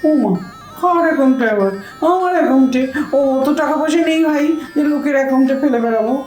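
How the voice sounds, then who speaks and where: Actress as naive, grumbling bank customer, high animated voice, flustered stammer, roomy recording. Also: female voice, fast. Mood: wheedling, stubborn. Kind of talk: audio comedy drama acting. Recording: roomy echo, faint music.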